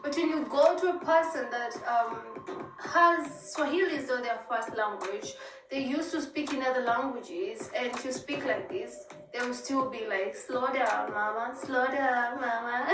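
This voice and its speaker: A woman's voice: high-pitched, unhurried, music in the background. A woman from Kenya, chatting to camera and acting out little exchanges in quoted voices. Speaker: YouTuber. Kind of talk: explaining to camera. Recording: echoey, distant, noisy clip played back.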